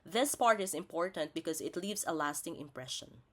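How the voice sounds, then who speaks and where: A woman's voice: low-pitched, expressive, moderate pace. A woman presents a scripted lesson as a teacher, spelling out each point in a didactic, step-by-step way. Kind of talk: scripted instructional narration.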